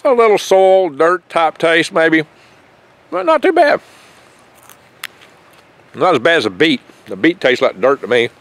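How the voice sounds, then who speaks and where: A man's voice: a little high, wildly swooping pitch, a little slow, pauses often, a bit bright. An older man hosting a foraging vlog outdoors in his garden, talking in a rambling, folksy, chatty way with small jokes and stumbles, recorded on a handheld camera mic held close. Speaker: foraging channel host. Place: outdoors in a garden among pine trees.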